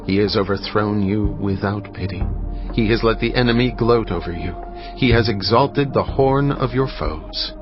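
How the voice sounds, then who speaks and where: Male narrator, fairly deep voice, measured dramatic scripture reading, solemn, music behind. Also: lo-fi sound.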